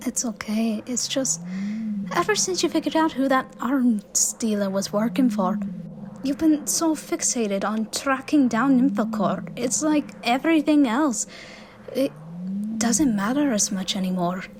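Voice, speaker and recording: A woman's voice: fairly high pitch, animated, measured pace, noisy background. A woman playing a young travelling companion in a fan audio drama, sincere, earnest and inquisitive. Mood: gentle, hurt.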